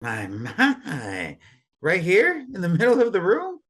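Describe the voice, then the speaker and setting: A woman's voice: lowish voice, wildly swooping pitch, takes it slowly, fairly bright tone, husky, heavily acted. A woman playing a flirty, laid-back, fun-loving man in an audio play, with a playful delivery. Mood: flirtatious.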